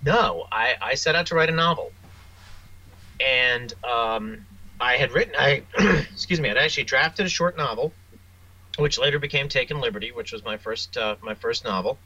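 A man speaking as an interview guest, a writer telling wry, self-deprecating anecdotes in a casual, animated way. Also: mid-range voice, moderate pace, dull audio, slight background noise.

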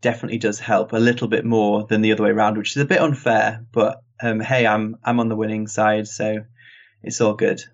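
Easygoing British man, low voice. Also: flat delivery, brisk. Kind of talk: casual chat. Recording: dull lo-fi sound.

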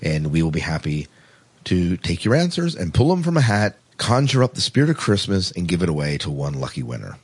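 Low-voiced man, slightly husky. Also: big pitch swings, fairly quick. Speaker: podcast host. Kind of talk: casual podcast talk, reading news items.

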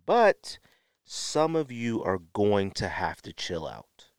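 A man with an American accent giving opinionated solo podcast talk in a blunt, emphatic way, with drawn-out pauses and repeated phrases. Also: on the low side, big pitch swings, clean tone, no echo, studio quality. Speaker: podcast host.

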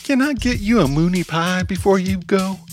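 A man's voice: sits high, moderate pace, voice pushed up, close to an everyday voice. A man voicing a condescending middle-aged woman villain who calls everyone honey, in a folksy manner. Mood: smug. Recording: music playing underneath.

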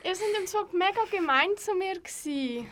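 An excitable schoolgirl played by a young female performer speaks in an amateur radio play. Her pitch is very high and her accent is Swiss German. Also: moderate pace, slight background noise. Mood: hurt. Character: excitable schoolchild among friends.

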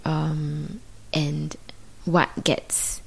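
A woman with a low voice and Mandarin as her first language, thinking aloud as a guest. She speaks thoughtfully and hesitantly, pausing often and reaching for the right words. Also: little pitch movement, vocal fry, husky, poor audio. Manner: thoughtful, searching, many pauses and fillers. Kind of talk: reflective conversation.

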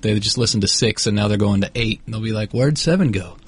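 A man's voice: lowish voice, quick pace, husky. A man co-hosting a casual podcast, rambling in a dry, self-deprecating way. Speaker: podcast co-host. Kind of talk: casual banter.

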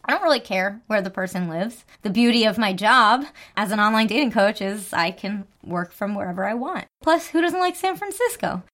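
A woman from New Jersey, an online dating coach, telling a date story in casual chat with a light New Jersey accent and an animated, laughing delivery. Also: mid-range voice, moderate pace, few pauses. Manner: animated, laughs often, acts out quotes.

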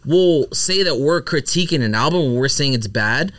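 A man's voice: on the high side, expressive, normal speed, a bit bright, no room sound. A man co-hosting a podcast, sharing opinions in casual chat with lots of "like" and "I mean". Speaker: podcast co-host.

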